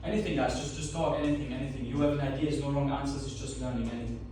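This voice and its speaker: A man's voice: mid-range voice, fairly even intonation, very fast. A young man who grew up in Austria, a football assistant coach giving a tactics presentation to players and quizzing them with repeated questions. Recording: echoey room, mic far off, noise.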